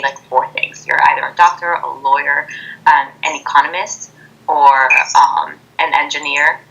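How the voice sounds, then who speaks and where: A woman's voice: low voice, creaky, a bit bright. A twenty-year-old woman from Ecuador, a native Spanish speaker, answering interview questions informally over a dull-sounding internet video call. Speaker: interview guest, college student. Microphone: Skype video call.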